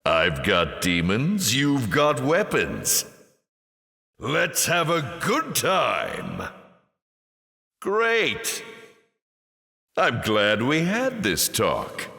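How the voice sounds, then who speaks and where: A man voicing a suave, taunting fallen angel demon in a video game, with a deep gravelly voice and a sly, sardonic drawl. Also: a bit bright, heavily acted. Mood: playful, teasing. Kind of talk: video game character voice lines. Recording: long cavernous reverb.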